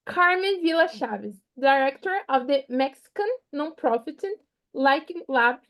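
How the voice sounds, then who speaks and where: A woman with a Portuguese accent and Portuguese as her first language, hosting an online panel over a video call in lively, non-native phrasing. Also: high voice, low-quality recording. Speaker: event host.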